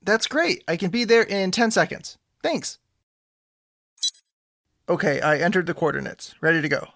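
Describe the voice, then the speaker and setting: A man's voice: high voice, lively intonation, normal speed, creaky, fairly bright tone, no echo, studio quality, full character voice. A man playing an earnest, awkward young superhero sidekick who politely rambles through explanations in an audio drama. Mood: eager, hurried. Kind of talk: scripted audio drama dialogue.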